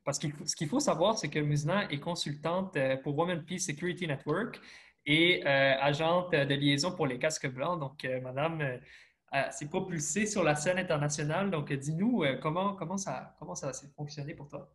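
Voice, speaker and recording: A man's voice: a little high, normal speed, fairly bright tone. A man moderating an online panel, introducing a speaker and asking questions with some hesitations. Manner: friendly, fillers while framing questions. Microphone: video call.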